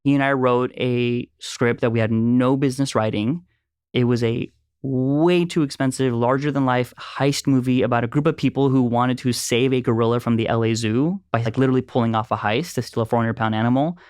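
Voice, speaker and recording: An animated man in his thirties from Los Angeles tells stories about his early filmmaking days with a resonant, smooth voice. His pitch sits in a medium range, and the sound is close and dry. He laughs easily and keeps the conversation light. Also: a little fast, no echo. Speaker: filmmaker and producer. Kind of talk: casual conversation, storytelling.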